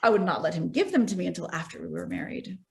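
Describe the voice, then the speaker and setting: An actress playing a self-possessed, wealthy society widow, poised and matter-of-fact in a stage comedy over a video call. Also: female voice, on the low side, sing-song, fast talker, vocal fry, darkish tone, heavily acted.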